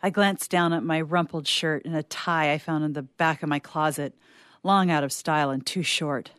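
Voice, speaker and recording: A woman playing an uneasy doctor who recounts events in the first person, reading a suspense story in a measured, solemn way. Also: deep voice, steady tone, a bit bright, clear, resonant tone, dry recording, studio quality, voice pushed down. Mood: grave, uneasy. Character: uneasy, secretly sinister doctor testifying as sole survivor.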